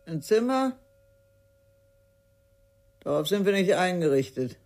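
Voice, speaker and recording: High-pitched wary village innkeeper. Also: male voice, sing-song, takes it slowly, darkish tone, no room sound, soft background music, full character voice. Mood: guarded, curt. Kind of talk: radio crime drama dialogue.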